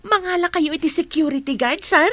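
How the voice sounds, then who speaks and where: A woman playing a chatty, fussing, protective older household woman in an audio drama, exclamatory and animated. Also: extremely high pitch, brisk, dry recording. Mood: alarmed, insistent.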